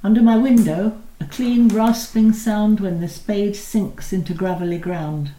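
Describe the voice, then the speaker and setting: A woman reciting poems, introducing each one before reading it in a measured, expressive way. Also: medium pitch. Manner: measured, expressive reading, slowing on key lines. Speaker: poetry reader. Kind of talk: poetry recital with spoken introductions. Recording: roomy echo.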